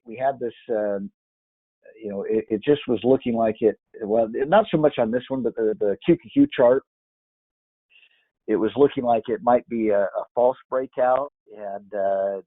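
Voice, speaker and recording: A hoarse man walking through market charts on screen, hesitant and full of fillers and restarts. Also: mid-range voice, normal speed, dry recording, phone-quality sound. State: hoarse from yelling. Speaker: market analyst. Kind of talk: screen-share chart walkthrough.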